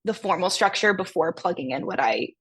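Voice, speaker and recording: A woman's voice: on the low side, lively intonation, brisk, a bit bright, husky. A woman speaking as an interview guest, explaining academic ideas in a conversational way. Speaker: podcast guest, music professor.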